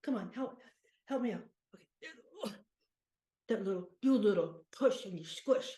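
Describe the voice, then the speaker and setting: A woman playing a cranky, sentimental aging ex-baseball pitcher with an aching back, rambling and muttering in an improvised monologue. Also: animated, unhurried, mellow, natural voice. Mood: fond, muttering. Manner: rambling, muttering, groaning, talks fondly to objects. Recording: echoey room.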